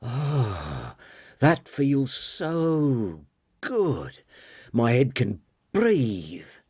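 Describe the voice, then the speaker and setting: A man voicing a moody, tired, snappish young girl in a breathy, childlike manner for an audiobook. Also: medium pitch, huge pitch swings, drawn-out words, darkish tone, dry recording, studio quality, voice pushed up, heavily acted. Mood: relieved.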